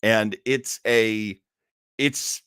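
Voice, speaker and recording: A man's voice: lowish voice, steady tone, very slow, fairly bright tone, smooth, no room sound, studio quality. A man hosting a live stream about old-time radio, chatting in a rambling, enthusiastic, theatrical way. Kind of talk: casual live stream chat.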